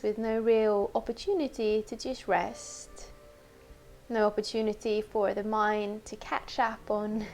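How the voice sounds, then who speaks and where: A woman teacher leading a guided meditation in a gentle, unhurried, conversational way. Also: a little high.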